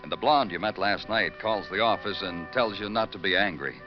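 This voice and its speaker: An actor playing a hardened, world-weary New York homicide detective in an old radio crime drama, with brooding, poetic, clipped delivery. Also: male voice, deep voice, normal speed, close to an everyday voice. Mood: wistful. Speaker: narrator and lead detective. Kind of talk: 1940s-50s radio crime drama acting. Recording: dull vintage radio recording with music.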